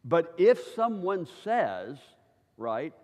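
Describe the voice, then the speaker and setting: A man's voice: on the high side, very animated, extremely slow, a little mellow. A man in his sixties teaching at church in a conversational way, often checking in with his listeners. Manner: chatty, keeps checking in with listeners. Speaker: preacher. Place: church hall. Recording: long reverb of a church hall.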